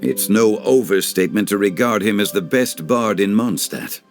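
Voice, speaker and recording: Man voicing a courteous game gentleman, low pitch, formal, measured, stately, scholarly, faint music underneath. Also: close to an everyday voice. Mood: courteous, wry. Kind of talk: video game dub dialogue.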